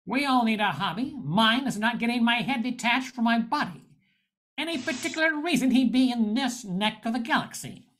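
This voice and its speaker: A woman's voice: on the high side, animated, moderate pace, a bit bright, a little hiss or hum. A woman playing a wry nightclub owner who narrates a space noir in an amateur radio play. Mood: evasive, wry.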